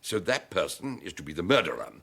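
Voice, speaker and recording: Theatrical, swooping upper-class host. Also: male voice, deep voice, sing-song, fast, creaky, fairly bright tone, husky, full character voice. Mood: officious, showy. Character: excitable upper-class English country-house host, knightly antiquities expert. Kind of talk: radio drama acting.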